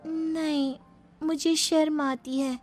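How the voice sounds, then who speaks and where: A woman's voice: high voice, flat delivery, takes it slowly, darkish tone, pitched above the performer's own voice. A woman voicing a coy but cheeky young bride in a moral story cartoon. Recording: music under the voice.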